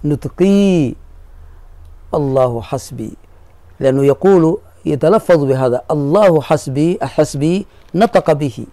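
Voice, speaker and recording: A man teaches grammar to his students, going over each point patiently and repeating key phrases. His voice is dark and mellow. The sound is dry and close. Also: on the high side, animated, unhurried, long pauses, no echo. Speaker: sheikh teaching Arabic grammar. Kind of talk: classroom explanation of a grammar poem.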